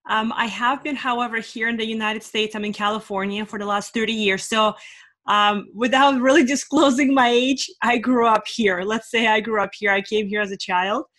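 A woman's voice: fairly high pitch, fairly even intonation, normal speed, a bit bright. A woman in her forties, originally from Russia, answering interview questions about her career in an earnest, reflective way with many fillers. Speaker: guest, life and business strategist.

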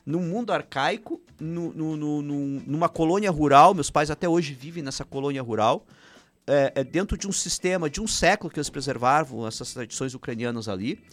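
A man's voice: on the high side, fairly quick, a bit bright. Chatty man with Ukrainian roots. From Paraná, Brazil. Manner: rambling, frequent restarts and "né?" tags. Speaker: filmmaker guest. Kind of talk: casual interview chat.

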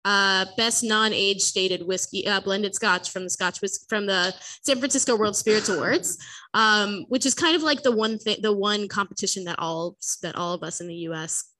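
Bright-voiced, chatty woman hosting a whisky tasting. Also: medium pitch, moderate pace, rough recording. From Massachusetts, USA. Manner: chatty and excitable, full of fillers and restarts. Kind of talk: casual live tasting chat.

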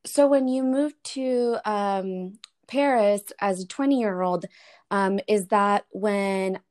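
A woman's voice: medium pitch, takes it slowly, darkish tone, smooth. A woman interviewing a musician in a casual chat, speaking as an eager fan who hesitates with ums.

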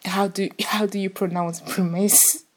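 A woman in her twenties hosting her own podcast, chatting casually and rambling with frequent little laughs, her voice sounding rougher and deeper than usual. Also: fairly even intonation, measured pace. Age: 20s. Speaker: podcast host. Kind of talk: casual solo podcast chat.

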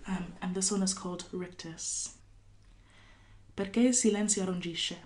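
A woman from California speaks as a poet on a podcast. Her voice sits low and stays fairly flat in pitch. She talks thoughtfully, pausing often with fillers as she works through ideas. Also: slight background noise. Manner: thoughtful, hesitant with many fillers, laughs often. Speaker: poet guest. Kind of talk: podcast interview with poetry reading.

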